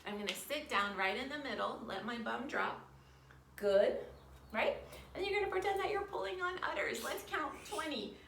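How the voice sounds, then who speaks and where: High-voiced woman teaching kids' yoga, roomy sound. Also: expressive, normal speed, vocal fry, a bit bright. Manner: encouraging, simple instructions for young children. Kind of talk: guided children's yoga lesson. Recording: roomy, distant mic, faint background noise.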